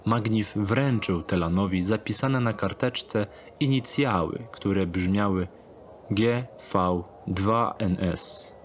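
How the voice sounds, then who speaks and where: A man reading scripted third-person narration for a dark thriller audiobook. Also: on the low side, takes it slowly, slight background noise.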